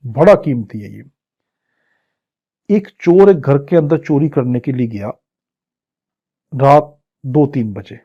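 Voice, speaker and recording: A man's voice: a little high, warm tone. A man with a Pakistani accent lecturing exam students as a law teacher, explaining didactically phrase by phrase and switching between two languages. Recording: dull, muffled lo-fi audio.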